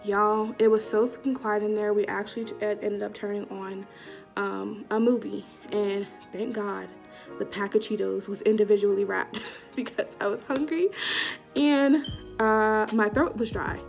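A woman's voice: medium pitch, normal speed, gravelly, soft background music. Young woman telling a slangy story. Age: young adult. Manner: animated, slangy storytelling with acted-out quotes. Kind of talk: casual storytime vlog. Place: small indoor room before a curtain. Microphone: webcam or phone at arm's length.

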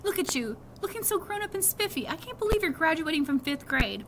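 A woman's voice: very high voice, very animated, a little fast, fairly bright tone, a little hiss or hum, pitched above the performer's own voice, exaggerated voice. A middle-aged woman voicing an affectionate, easygoing father in a storybook, coaxing and emphatic. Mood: fond.

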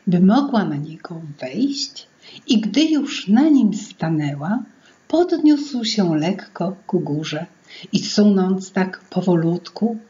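A middle-aged woman reading her own fairy tale to children in an expressive, theatrical singsong way. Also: on the low side. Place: small indoor room at home. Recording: dull lo-fi sound, music behind. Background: music.

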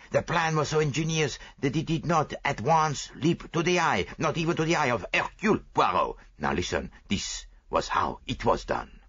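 A man's voice: medium pitch, a bit bright, breathy edge, highs cut off, voice pushed up, heavily acted. A man voicing a vain, fussy little detective with precise, foreign-flavored phrasing, read as an audiobook character.

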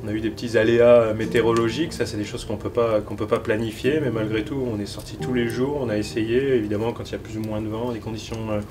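A man's voice: on the low side, level tone, fast, hardly any pauses, recorded from a distance. A man in his forties from France, host of a hunting show, chatting casually in a small wooden cabin with many fillers and restarts. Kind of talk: casual sit-down chat. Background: loud background music.

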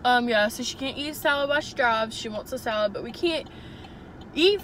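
A woman's voice: a little high, fairly quick, a bit bright, a little hiss or hum. A young woman talking casually to a phone camera from her car, rambling with lots of fillers. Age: young adult. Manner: chatty, rambling, many "um" and "like". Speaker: vlogger. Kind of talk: casual vlog chat. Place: inside a parked and moving car. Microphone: phone camera on the dashboard.

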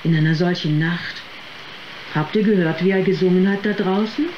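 A man's voice: sits high, normal speed, close to an everyday voice. An actor playing a surly, dismissive male tavern customer in a radio play, grumbling and scornful. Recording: dull, roomy sound with ambient noise.

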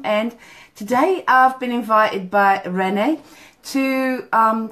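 A woman's voice: medium pitch, fairly bright tone. A woman, coaching live over a phone camera in a small office, chatty, with many ums and "okay?" tags. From Portugal. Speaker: business coach. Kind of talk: live video talk to a group. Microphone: phone camera at arm's length.

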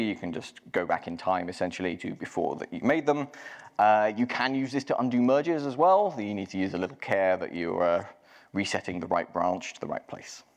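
A man with a fairly low voice walks an audience through a technical talk on stage. He speaks casually, with frequent ums and self-corrections. His voice carries the long reverb of a large hall. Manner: casual, many ums and restarts. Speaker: conference speaker. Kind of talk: live technical walkthrough.

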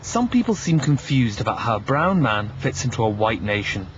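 A man's voice: medium pitch, big pitch swings, moderate pace, highs cut off, a little hiss or hum. A Scottish man narrating a documentary in a wry, self-mocking voice-over.

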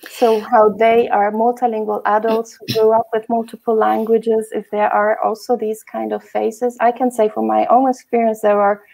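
A woman hosts a video call interview, asking open questions and adding her own experience. Her voice is level, with little rise and fall, and carries a gravelly fry. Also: fairly high pitch, level tone, moderate pace, hardly any pauses, a little mellow, poor audio. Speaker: host.

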